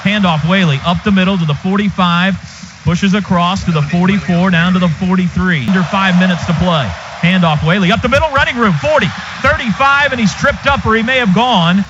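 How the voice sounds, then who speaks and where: An American man doing live football play-by-play for radio, in an excitable, clipped running call of each play. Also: sits high, brisk. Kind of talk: live sports commentary. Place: stadium radio booth. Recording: noisy, dull stadium radio broadcast.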